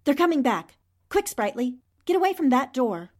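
Lively, firm heroine newspaperwoman. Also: high-pitched, normal speed. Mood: urgent. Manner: polite, firm, articulate. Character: poised, intelligent, firm female newspaper columnist heroine. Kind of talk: 1940s radio drama acting.

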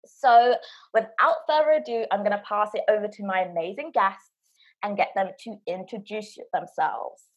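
Effusive woman podcast host, husky. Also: medium pitch, normal speed, dull audio. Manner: enthusiastic, effusive introductions. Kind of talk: casual podcast chat.

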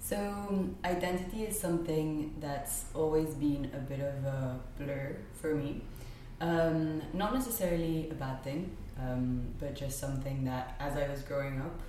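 A woman's voice: low voice, unhurried, few pauses, dark tone. A young woman from Spain, a podcast guest chatting casually, with frequent ums and light laughter. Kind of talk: casual conversational chat. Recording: very echoey room with faint noise.

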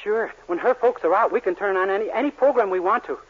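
Gullible young man, high voice, earnest, 1940s radio sitcom, muffled recording. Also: fast talker, breathy edge. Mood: naive, eager. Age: young adult. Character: naive, earnest, innocent young man, wholesome store clerk.

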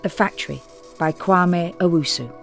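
A calm, measured announcer welcomes listeners and introduces the episode. Soft music plays underneath. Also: mid-range voice, vocal fry. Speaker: show announcer. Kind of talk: scripted show intro.

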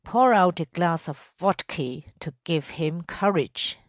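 A woman voicing a domineering, vindictive male estate superintendent in a storybook reading, questioning loudly and emphatically. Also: on the low side, animated, slow pace, no room sound. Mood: commanding. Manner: loud, emphatic interrogation.

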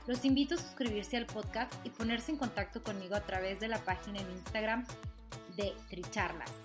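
A woman's voice: medium pitch, brisk. Woman podcast host over music. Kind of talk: scripted podcast outro.

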